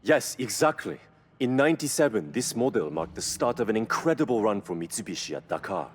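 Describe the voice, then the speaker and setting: A man playing a knowledgeable car expert in a video game, explaining in a measured, admiring way. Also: on the low side, very animated, slight background noise. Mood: impressed. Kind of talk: scripted video game dialogue.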